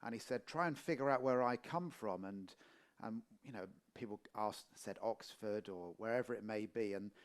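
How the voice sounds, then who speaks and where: A man from Portsmouth with a Middle England accent, hosting a casual interview and telling relaxed anecdotes. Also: lowish voice, moderate pace. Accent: Middle English. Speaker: interviewer, podcast host.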